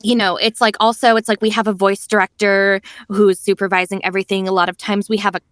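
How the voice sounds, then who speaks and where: A young adult woman talks as a guest on a podcast in a loose, chatty way, filling her sentences with "like" and "you know". Her voice sits at a middle pitch. The recording is close and dry, with no room sound. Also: fast, no echo. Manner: chatty, animated, many "like" and "you know" fillers. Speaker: voice actress guest. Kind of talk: casual podcast interview.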